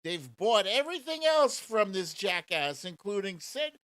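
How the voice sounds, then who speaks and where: A man delivers casual political commentary as a show host, taking his time over each point. His pitch moves around a lot, and the sound is close and dry. His manner is sarcastic, mocking and profane. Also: very animated, unhurried, fairly bright tone, no echo.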